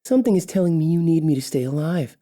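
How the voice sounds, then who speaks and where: A woman's voice: low-pitched, animated, quick pace, dark tone, pitched above the performer's own voice. A man voicing a defiant young female elf captive who talks back sarcastically in a raised voice. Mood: mocking. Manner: sarcastic, cheeky.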